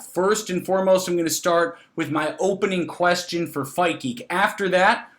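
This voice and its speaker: A man with a fairly high voice works as a podcast host. He talks about sports in a casual, chatty way, with an enthusiastic manner and a habit of repeating phrases for emphasis. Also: a bit bright.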